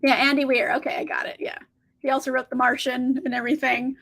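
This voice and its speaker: High-voiced chatty woman, scratchy today. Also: little pitch movement, quick pace, fairly bright tone. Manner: chatty, upbeat, laughs often. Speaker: book channel host. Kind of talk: casual livestream chat, reading out viewer comments.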